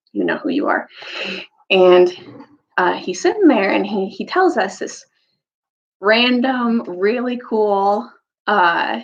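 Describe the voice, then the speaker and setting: A woman speaking as a teacher and storyteller in a casual, chatty way, halting and often restarting her phrases. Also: mid-range voice, gravelly. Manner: halting, informal, self-interrupting. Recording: dull lo-fi recording.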